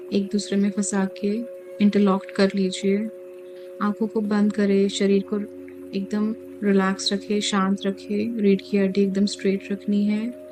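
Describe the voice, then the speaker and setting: A woman leading a guided meditation as a teacher, speaking calmly in short, measured phrases with pauses. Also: mid-range voice. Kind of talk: guided meditation, slow soothing instruction. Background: music.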